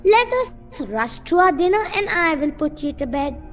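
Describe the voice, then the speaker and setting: A woman's voice: very high voice, sing-song, normal speed, soft background music. A woman acting a chatty, gushing, oblivious mother in an amateur radio play, rambling and exclaiming. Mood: brisk.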